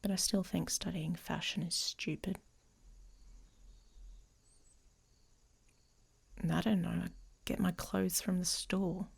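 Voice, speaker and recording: A woman playing a grumpy, guarded female werewolf in an intimate ASMR roleplay, speaking in a halting, breathy, often whispered murmur. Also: fairly low pitch, little pitch movement, a little mellow, heavily acted. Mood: grumpy, dry.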